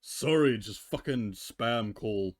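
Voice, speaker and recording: A man's voice: medium pitch, takes it slowly, no echo, clean studio sound. A man reading fan fiction on a livestream, moving between storybook narration and profane, joking casual asides.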